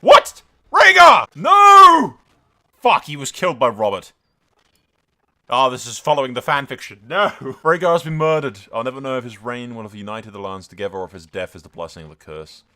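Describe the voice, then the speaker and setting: Animated, bright-voiced man commentating on a game. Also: mid-range voice, moderate pace. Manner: excitable, sweary, chatty. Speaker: gaming video host. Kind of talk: casual gameplay commentary with roleplay storytelling.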